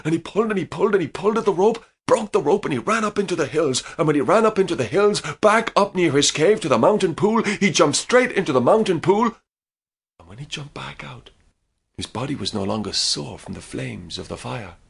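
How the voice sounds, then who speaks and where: A man with an Irish accent telling a folk tale with dramatic pauses, then chatting as a guest. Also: on the high side, animated, vocal fry, slightly rough. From Ireland. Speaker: storyteller. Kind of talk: storytelling and interview chat.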